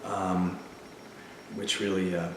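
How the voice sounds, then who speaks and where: A fairly low-pitched man speaks casually as an interview guest, heard from some distance in a very echoey room. He tells his stories with energy, acting out the people he quotes. A faint hiss of room noise sits behind him. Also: takes it slowly. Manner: animated storyteller, acts out voices of others in anecdotes. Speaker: interview guest, stage actor and musician.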